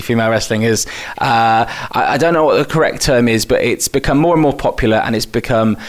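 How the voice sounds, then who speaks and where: Chatty English-sounding male podcast host. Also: medium pitch. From Wales. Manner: chatty, self-deprecating, hesitant with "uh". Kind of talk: casual interview.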